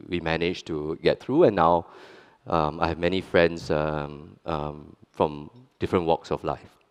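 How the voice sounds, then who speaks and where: A man's voice: deep voice, darkish tone, clean tone. A middle-aged man from Singapore with a Singaporean English accent, whose first language is Hokkien, speaking earnestly on a conference panel with frequent restarts and wry asides. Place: large conference hall. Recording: reverberant conference hall.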